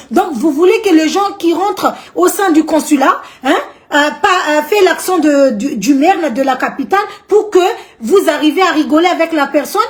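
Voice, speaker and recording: Woman, Malagasy accent, high-pitched, outspoken, repeats herself, vlog monologue. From Madagascar. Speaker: vlogger. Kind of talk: indignant monologue to camera.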